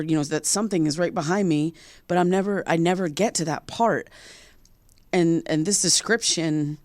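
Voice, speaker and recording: A low-pitched, anxious young woman played by an actress in a naturalistic audio drama. She speaks haltingly and self-consciously, as if confiding something that haunts her. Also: no room sound, close to an everyday voice. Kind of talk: naturalistic audio drama acting with confessional narration.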